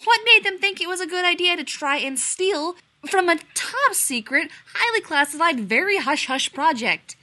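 A man's voice: high voice, big pitch swings, brisk, sharp tone, heavily acted. A woman voicing an arrogant male scientist who talks in a snotty, demanding way.

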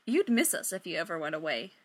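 A woman's voice: lowish voice, sing-song, fast, pitched below the performer's own voice. A woman voicing a young male bandmate with a teasing, fond manner.

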